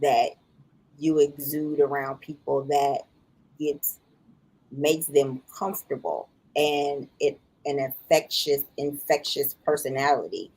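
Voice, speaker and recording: A woman co-hosting a podcast, talking in a thoughtful, halting way with many restarts. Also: deep voice, darkish tone, slightly rough, rough recording. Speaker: podcast co-host. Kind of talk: casual chat.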